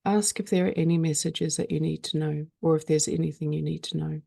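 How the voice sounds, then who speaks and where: Gravelly, mellow woman guiding a meditation. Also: low voice, dry recording, studio quality. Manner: slow, calm, soothing delivery with frequent pauses. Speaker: meditation guide. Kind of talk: guided meditation.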